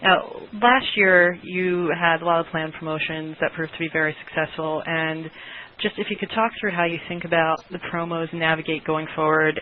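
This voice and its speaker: A woman financial analyst calling in to ask business questions on a conference call. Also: low-pitched. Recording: tinny, noisy phone line.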